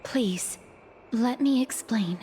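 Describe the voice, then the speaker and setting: A woman voicing an earnest, gentle young woman in a video game, polite and careful, solemn yet quietly resolute. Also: on the high side, takes it slowly, slight background noise. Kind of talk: scripted video game voice acting. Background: music.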